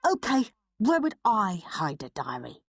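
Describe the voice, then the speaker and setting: Lady doing a scheming british little boy, indignant and melodramatic, husky, swoops around a lot, storybook style. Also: mid-range voice, wildly swooping pitch, a little slow, no echo, studio quality, heavily acted. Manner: scornful, emphatic, melodramatic. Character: horrid scheming, indignant young schoolboy from a storybook.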